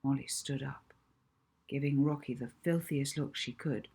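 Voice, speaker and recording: A woman author reading her own children's book aloud in an expressive storytelling style. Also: very deep voice, measured pace, vocal fry, husky. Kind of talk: expressive children's audiobook narration.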